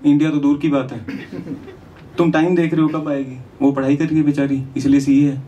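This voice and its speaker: A man's voice: on the high side, little pitch movement, fairly quick. Male comic, distant noisy sound. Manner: conversational asides between recited rhyming verses. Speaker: stand-up comedian. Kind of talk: lyrical stand-up comedy, rhymed storytelling. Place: small indoor comedy venue on stage. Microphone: handheld microphone.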